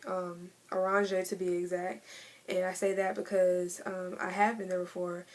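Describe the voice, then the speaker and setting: A woman's voice: on the low side, little pitch movement, moderate pace. Laid-back chatty young woman. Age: 20s. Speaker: vlogger. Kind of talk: casual tag video chat.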